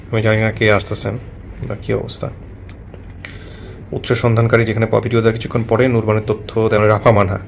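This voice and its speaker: Bangladeshi guy hosting, talks fast, short questions, echoes back answers, bit of noise behind. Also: on the low side, creaky. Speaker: host of a family reunion show. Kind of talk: conversational interviewing.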